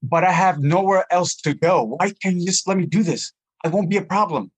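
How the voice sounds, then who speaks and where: A man's voice: high voice, a little fast, breathy edge. An actor playing a young male Broadway chorus dancer who is stranded, earnest and pleading. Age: young adult. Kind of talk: audio play acting.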